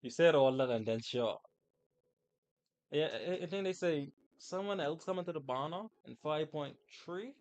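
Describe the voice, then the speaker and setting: A man's voice: on the high side, normal speed, a little mellow. A man chatting casually on a gaming livestream in a slangy, informal way, talking to his viewers. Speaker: game livestreamer.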